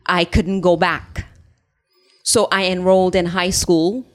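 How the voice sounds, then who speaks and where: Low-voiced woman telling her story. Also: fairly even intonation. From Haiti. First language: Haitian Creole. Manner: earnest, measured storytelling. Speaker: interview guest, business founder.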